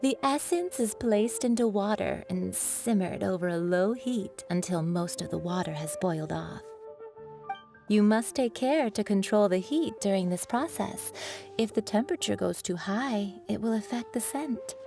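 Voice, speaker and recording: A woman's voice: medium pitch, lively intonation, normal speed, creaky. A playful young woman who makes perfume in a fantasy game. She is teasing and coy, yet explains things like a patient teacher. Game music plays behind her voice. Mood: gently instructive. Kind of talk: video game voice acting. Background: background game music.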